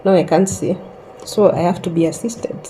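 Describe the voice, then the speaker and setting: A woman in her thirties slowly telling a personal story in a calm, reflective way, pausing often. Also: on the low side, soft background music, poor audio. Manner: slow and reflective, with long pauses. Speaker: host sharing a personal story.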